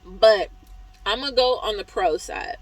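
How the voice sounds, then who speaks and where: A woman vlogging as a truck driver, hoarse from talking, venting in a chatty, exasperated way about her job. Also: mid-range voice, animated, a little slow, low-quality recording. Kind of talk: casual vlog review.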